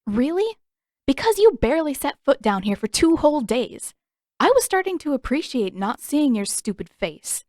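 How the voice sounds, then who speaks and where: A woman's voice: high voice, very animated, moderate pace, a little mellow, no echo, clean studio sound, pitched above the performer's own voice. A woman voicing a defiant, hot-tempered teenage girl with a sarcastic, indignant manner in an audiobook. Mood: annoyed, challenging. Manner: indignant, mocking, emphatic. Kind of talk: audiobook character acting.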